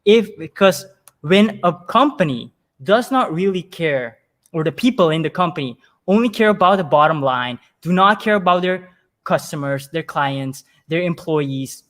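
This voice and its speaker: Man, fairly high-pitched, interview guest, conversational storyteller, emphatic, repeats words mid-thought. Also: fairly bright tone. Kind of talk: conversational interview answers with personal anecdotes.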